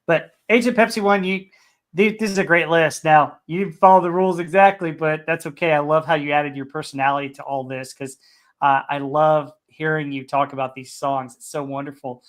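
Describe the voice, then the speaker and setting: A middle-aged man hosting a podcast, chatting casually and reading out a list with relaxed "uh"s between items. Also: on the high side, brisk. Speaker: podcast host.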